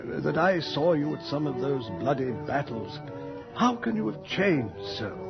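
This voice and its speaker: Gravelly courtly colonel, highish voice, formal and halting, old muffled radio play. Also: male voice, animated. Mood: hesitant, curious. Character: gallant, dignified army colonel, nervously courtly. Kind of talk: radio horror drama acting. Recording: dull 1980s radio recording with music.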